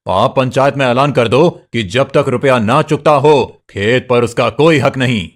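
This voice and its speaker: A man voicing a greedy, gruff village moneylender. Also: medium pitch, a little slow, close to an everyday voice. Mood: insistent. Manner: gruff, threatening, mocking. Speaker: voice actor. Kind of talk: cartoon story voice acting.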